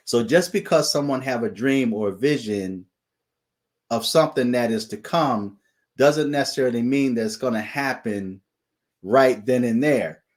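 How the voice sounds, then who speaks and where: A man, hosting his channel and recounting a dream in a slow preaching monologue, often pausing to ask "okay?". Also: medium pitch. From United States. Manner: deliberate, repeats "okay?" as a check-in. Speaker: channel host sharing dreams and visions.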